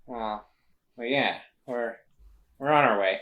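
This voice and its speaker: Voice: mid-range voice, slow, narrow, tinny audio, lightly acted. A player voicing a laid-back, considerate male traveler in a tabletop game, mild, hesitant and casual. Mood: gently concerned.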